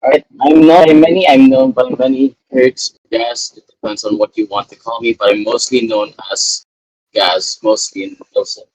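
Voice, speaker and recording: A young man from Canada chatting casually on a podcast in an animated way, often repeating his phrases. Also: mid-range voice, moderate pace, dry recording, low-quality recording. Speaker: podcast co-host. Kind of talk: casual chat.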